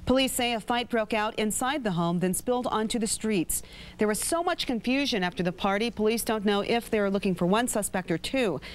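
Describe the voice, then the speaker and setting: A woman's voice: medium pitch, a little fast. A woman with an American accent reading scripted news as an anchor.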